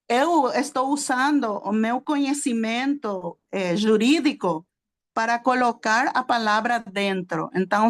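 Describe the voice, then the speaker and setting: A woman with a Spanish accent, a native Spanish speaker, teaching a sermon to a small group, halting often with fillers and checking that her listeners follow. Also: fairly high pitch. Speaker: preacher and theology teacher.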